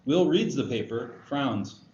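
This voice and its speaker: A man's voice: medium pitch, lively intonation, unhurried, darkish tone. A man narrating stage directions at a screenplay table read. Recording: echoey room, muffled, faint noise.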